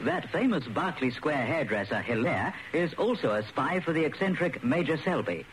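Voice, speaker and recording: A man's voice: on the high side, expressive, normal speed. Man narrating a radio drama. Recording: noisy old radio recording.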